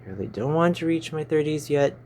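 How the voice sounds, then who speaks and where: A man's voice: fairly high pitch, animated, brisk, a little mellow, slight background noise. A man in his twenties with a Filipino accent, chatting casually to his channel and stumbling over his words, recorded on a phone in a small stuffy room. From Philippines. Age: 20s. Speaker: YouTuber. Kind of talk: casual rambling vlog chat.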